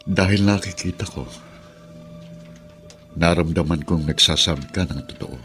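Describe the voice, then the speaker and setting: A gentle husband in a radio drama, with a very deep, low voice. He reassures softly and patiently. Faint music plays behind him. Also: normal speed. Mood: tender. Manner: tender and reassuring. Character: kind middle-aged husband recovering from paralysis.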